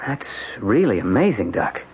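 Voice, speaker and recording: Intense American radio-drama lawyer. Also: male voice, lowish voice, very animated, moderate pace, husky, heavily acted. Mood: curious, impressed. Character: tired, blunt male defense lawyer, intense and pressing. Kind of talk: old-time radio drama acting. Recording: dull, noisy vintage radio recording.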